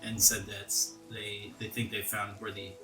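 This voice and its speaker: A man's voice: fairly low pitch, flat delivery, measured pace, echoey room, poor audio. A man narrating a tabletop roleplaying game as its game master. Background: background music.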